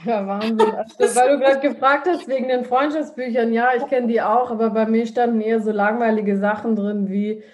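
A woman's voice: a little high, fairly even intonation, a little fast, few pauses. A woman interviewing a guest, asking questions hesitantly with many fillers.

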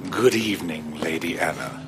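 A man's voice: sits low, normal speed, background noise, close to an everyday voice. A man playing a suave vampire master's servant in an audio drama, courtly, deferential and insinuating.

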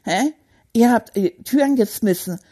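Brief: woman plays a male stuffed toy rat for a kids' audio story, husky and mellow, high-ish and gossipy. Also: measured pace. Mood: chatty. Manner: excitable, gossipy, childlike storytelling. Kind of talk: children's audio story monologue.

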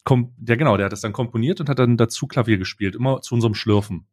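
A man's voice: medium pitch, quick pace. Male co-host, mid-range voice, meandering casual chat with slang and jokes, close podcast mic. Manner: rambling, joking, slangy.

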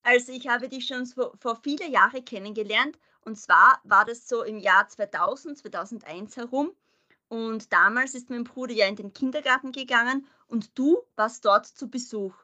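Young woman hosting a podcast, bright higher voice, chatty and easygoing. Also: moderate pace. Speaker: podcast host. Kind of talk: casual podcast interview.

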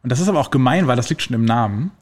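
A man's voice: mid-range voice, rapid-fire. Chatty man explaining science casually. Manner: relaxed, many fillers, explains with everyday examples.

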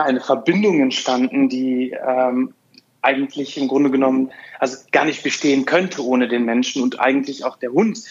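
A man's voice: mid-range voice, husky, dull audio. A man in his thirties, a dog behavior therapist, answering interview questions with many restarts and fillers. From Afghanistan.

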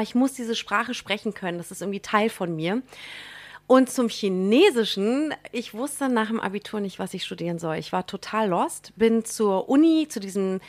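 A young woman from Germany, a guest in a radio studio, talking in a bubbly, enthusiastic way with frequent laughs and slang. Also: on the high side. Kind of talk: casual interview chat. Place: radio studio in a theme park.

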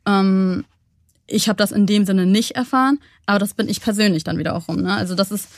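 A young German woman answering interview questions in a considered, measured way. She often pauses and restarts as she works out her thoughts. Her voice sits at a middle pitch and the recording is dry and close. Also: dry recording. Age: young adult. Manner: thoughtful, measured, frequent hesitations. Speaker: interview guest. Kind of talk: reflective interview talk.

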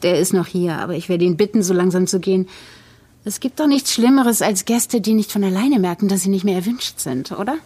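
Actress doing controlled scheming wife in a podcast drama, bit husky, measured and polite, very articulate. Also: female voice, medium pitch, warm tone, natural voice. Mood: smug, icy. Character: cool, controlled, calculating wife with false sincerity. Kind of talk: German fiction podcast acting.

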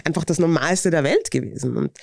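A woman with a Viennese accent answers interview questions in a lively, candid way and laughs easily. Her voice sits a little low. The sound is dry and close, with no room echo. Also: brisk, creaky. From Vienna, Austria. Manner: lively, frank, laughs often. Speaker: author and interviewee.

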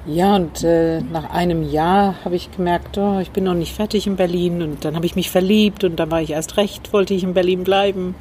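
A woman, speaking as an interview guest. She recalls her past thoughtfully, with pauses and fillers. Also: fairly low pitch, steady tone, warm tone. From Northern Ireland. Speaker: interview guest, communication trainer. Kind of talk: reflective storytelling. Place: rooftop terrace in a city, outdoors. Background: faint traffic noise, passing train.

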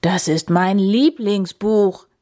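A woman voicing a big grumpy male bear in a children's story, gruff and growly, with a grumbling, forceful delivery. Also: sits low, takes it slowly. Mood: angry.

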